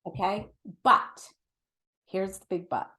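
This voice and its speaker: A woman's voice: mid-range voice, steady tone, slow, bright, forceful tone. A middle-aged woman giving conversational spiritual teaching as an interview guest, earnest and emphatic, explaining with everyday examples.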